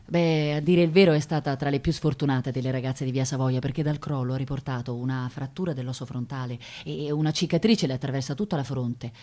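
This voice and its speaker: A wry film assistant director narrating a radio play. Her voice sits a little low, and she sounds condescending, pausing and repeating as she looks for the right word. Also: a bit bright. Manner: hesitant, searching for words, wryly condescending. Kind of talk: theatrical radio drama narration.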